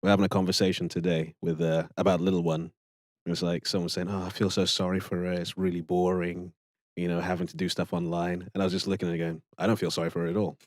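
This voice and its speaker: Man from Nigeria, lowish voice, loose rambling co-host who laughs easily. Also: flat delivery, fast, darkish tone, no room sound, studio quality. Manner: rambling, laughs often, many fillers. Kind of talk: casual chat on a live stream.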